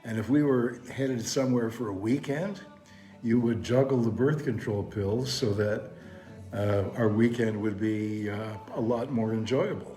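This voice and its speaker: An older man in a casual chat, speaking in a measured, hesitant way with fillers. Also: medium pitch, warm tone. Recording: echoey room with faint music.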